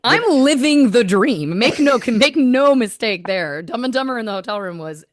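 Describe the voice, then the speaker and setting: A woman musician guest, chatting in an interview and telling quick, animated stories with playful asides. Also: fairly high pitch, no echo, studio quality. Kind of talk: casual interview chat.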